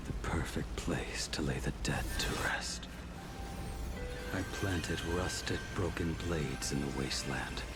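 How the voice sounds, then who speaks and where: Very deep, weary swordsman. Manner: grave, deliberate, understated, brooding. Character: weary, somber swordsman and former craftsman seeking life's end. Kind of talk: dramatic video game voice acting. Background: music.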